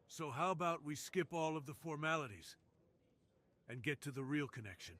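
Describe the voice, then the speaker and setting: A man's voice: mid-range voice, sharp tone, pitched above the performer's own voice, lightly acted. A man voicing a suave, dominant crime boss in a seductive, self-assured ASMR roleplay. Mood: bold, seductive.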